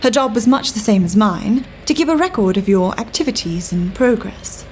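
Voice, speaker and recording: A woman's voice: mid-range voice, lively intonation, moderate pace, darkish tone, a little hiss or hum, lightly acted. An actress with a British accent playing a cool, worldly 1930s government agent woman in an audio drama, genteel, dry and arch. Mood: coolly detached. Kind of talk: full-cast audio drama acting.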